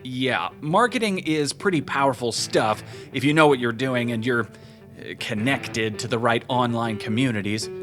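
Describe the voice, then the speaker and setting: A man's voice: mid-range voice, moderate pace, a bit bright, soft background music, natural voice. An actor playing an aggrieved, self-important male marketer in a comedic audio drama, with a wry conversational delivery. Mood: defiant, boastful.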